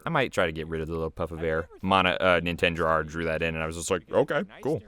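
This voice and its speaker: A man's voice: a bass voice, fairly even intonation, fast talker, pushed and bright, clear, resonant tone. A man with an American accent giving casual, rambling, self-deprecating commentary on his own video. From United States. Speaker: creator and voice actor commentating.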